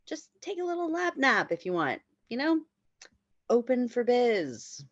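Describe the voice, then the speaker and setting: A woman's voice: on the high side, big pitch swings, unhurried, no room sound, lo-fi sound. A woman in a comedic table read, playing a smug, crude, callous man with cocky, taunting delivery. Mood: cocky, leering. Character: smug, crude, callous male casual lover.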